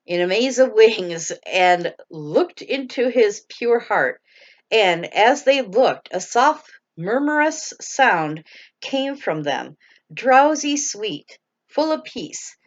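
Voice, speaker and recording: Slow, lively-voiced mom reading a story. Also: female voice, fairly high pitch, lo-fi sound. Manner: stumbles over hard words and laughs at herself. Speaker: parent reading to her children. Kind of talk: bedtime story read aloud.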